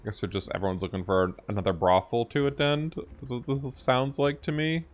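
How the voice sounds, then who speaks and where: A man's voice: mid-range voice, fairly even intonation, a little fast. A man giving casual game reaction commentary, thinking aloud and talking back to the characters. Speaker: gaming video host reacting to cutscenes.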